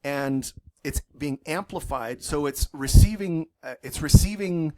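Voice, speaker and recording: A man's voice: on the high side, unhurried, warm tone, rough recording. A man giving a university zoology lecture in an enthusiastic, loosely worded way, with frequent fillers and self-corrections.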